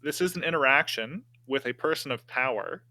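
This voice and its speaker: Male tabletop player, mid-pitched, bright, dry sound, hesitant casual table talk. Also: brisk, no echo. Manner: hesitant, thinking aloud. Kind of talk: casual game-table chat.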